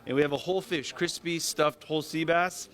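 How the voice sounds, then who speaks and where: A man, a chef, explaining symbolic holiday dishes to reporters in a relaxed way. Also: a little high, fairly quick. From United States, Thai Chinese family. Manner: relaxed, explanatory, checks in with "right?" and "okay?". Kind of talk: explaining a menu to press.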